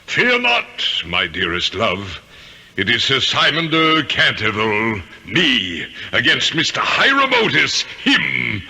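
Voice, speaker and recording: An ancient aristocratic male ghost, pompous and easily outraged, in an old radio play. His voice is piercingly bright and rough-edged, swooping through grand, unhurried declamations. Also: mid-range voice, huge pitch swings, full character voice. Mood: grandly scheming. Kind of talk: 1970s radio drama acting. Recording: raspy-edged 1970s radio broadcast.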